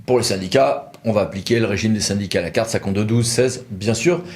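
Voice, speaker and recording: A man's voice: on the low side, quick pace. A man presenting a legal explainer in a conversational, didactic way, thinking aloud through rhetorical questions.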